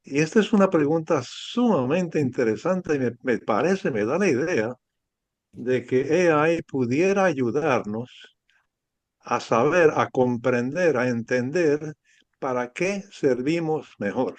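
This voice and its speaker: A man from the audience asking a question in a measured, thoughtful way. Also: medium pitch, expressive, no echo.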